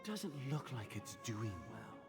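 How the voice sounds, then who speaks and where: A wise older guardian in a game story scene, softly patronising and kindly. His delivery is slow and deliberate with lively swings of pitch. Music plays clearly behind the voice. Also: exaggerated voice. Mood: kindly, curious. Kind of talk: video game cutscene acting. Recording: long reverb, music behind.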